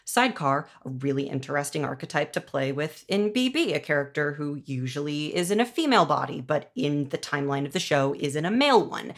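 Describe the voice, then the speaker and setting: A woman speaking casually and with opinions as a podcast interviewer and showrunner, in an animated, conversational way. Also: low voice.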